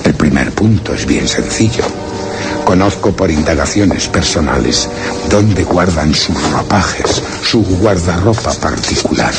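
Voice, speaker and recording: A man's voice: rumbling low, expressive, a little slow, loud music behind the voice, full character voice. An actor playing a solemn, wondering explorer who narrates his journal in a radio drama, grave and theatrical. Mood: deliberate, scheming. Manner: grave, literary, theatrical, with rhetorical questions. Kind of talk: Spanish radio drama suspense monologue.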